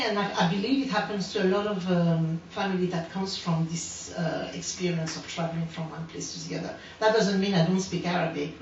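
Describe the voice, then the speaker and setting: A woman's voice: on the low side, a little hiss or hum. A woman, giving an unscripted artist talk, hesitant, with many fillers and restarts. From France. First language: French. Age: 40s. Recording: echoey room, distant mic, dull sound.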